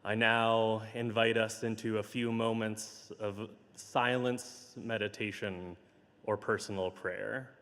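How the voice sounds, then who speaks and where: Slow, hoarse minister in a reverberant church. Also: male voice, fairly low pitch, flat delivery. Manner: slow, measured and gentle. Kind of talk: pastoral notes and spoken prayer. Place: large church sanctuary. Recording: livestream with long hall reverb.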